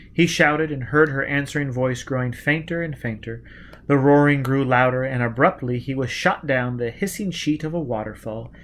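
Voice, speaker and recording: Expressive male audiobook narrator, medium pitch. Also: slight background noise. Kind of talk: dramatic scripted narration.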